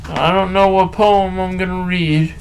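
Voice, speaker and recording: A man talking and reading poetry solo as a podcast host, halting, with drawn-out phrases and long pauses. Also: high voice, little pitch movement, vocal fry, a little hiss or hum, poor audio. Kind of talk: rambling solo talk and poem reading.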